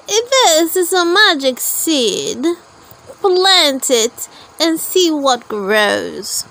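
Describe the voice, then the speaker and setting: An old witch from a storybook, acted by a woman reader. She is mysterious and deliberate, speaking high and very slowly as if offering magic. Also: sing-song, vocal fry, a bit bright, full character voice.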